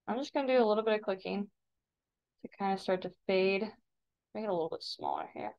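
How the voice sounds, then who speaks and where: A woman with a creaky voice, an art teacher casually explaining software step by step in a tutorial voice-over. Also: mid-range voice, big pitch swings, fast talker. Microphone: screen-recording voice-over. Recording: dull, lo-fi voice-over.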